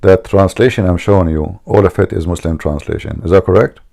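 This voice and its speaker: Man, gravelly dark voice, mocking debate host, emphatic and repetitive. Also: low voice, a little fast. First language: Arabic. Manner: mocking, emphatic, repeats himself. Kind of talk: argumentative religious debate.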